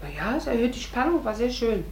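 A woman's voice: a little high, sing-song, fast talker, darkish tone, slight background noise, rough recording. A woman playing a witty, sarcastic young actress in a 1950s German radio play. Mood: irritated. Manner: dry, sarcastic. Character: sharp-tongued, witty young actress, fond of mimicry.

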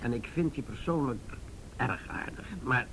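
A man's voice: medium pitch, animated, normal speed, a bit bright, low-quality recording. An actor playing an older, jovial and patronising male police chief in a radio drama, hesitant and avuncular. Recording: radio drama with faint background noise.

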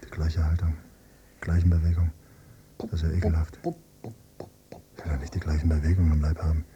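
Radio drama: detached, brooding son, very soft-edged dark tone, clipped introspective phrases, slight tape hum. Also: male voice, a bass voice, flat delivery, moderate pace, dull audio. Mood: brooding disgust. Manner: terse, clipped phrases, subdued. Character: brooding, detached adult son, quietly disgusted by his father. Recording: noisy, humming cassette copy.

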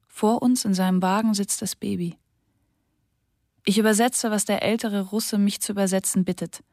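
Female diary reader, measured and sober, dead-dry booth. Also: medium pitch, fairly even intonation, a little mellow, dry recording. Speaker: narrator reading a diary. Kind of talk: literary diary reading for radio.

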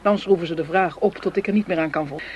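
A man's voice: high voice, fast talker, lo-fi sound, slight background noise, close to an everyday voice. An actor in a radio drama playing a shrewd, cautious head of a counterfeiting ring, dismissive and decisive in his talk. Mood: dismissive, wary. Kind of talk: Dutch radio drama dialogue.

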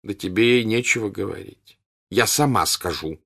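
A man's voice: lowish voice, moderate pace, creaky, bright, forceful tone, slightly rough, exaggerated voice. A man voicing a middle-aged countess in an audiobook, dry, mocking and impatient. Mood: exasperated. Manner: dry, scoffing.

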